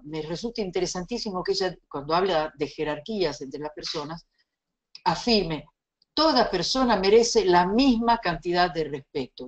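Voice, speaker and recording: A middle-aged woman from Italy with an Italian accent, giving a recorded lecture in a measured, reflective way with pauses and restarts. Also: fairly low pitch. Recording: slightly dull lo-fi sound.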